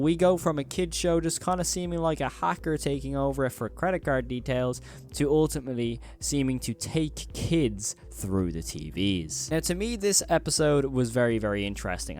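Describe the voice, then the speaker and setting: A man speaks as the host of a horror commentary video. His voice sits at a middle pitch. He is emphatic and wordy, often circling back over his phrasing. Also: darkish tone.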